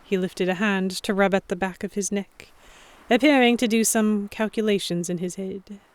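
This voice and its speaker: A woman's voice: medium pitch, normal speed, no echo, lightly acted. A woman playing an anxious young noblewoman who narrates an audio drama in a fretful, tentative, introspective manner. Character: anxious young noblewoman heroine narrating her captivity among pirates. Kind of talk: first-person scripted narration in audio drama.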